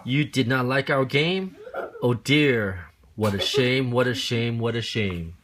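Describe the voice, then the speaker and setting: A man's voice: mid-range voice, slow pace, noisy background, lightly acted. A man voicing a cheerful, mischievous cat with a breezy, showy manner in a children's picture-book read-aloud. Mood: disappointed.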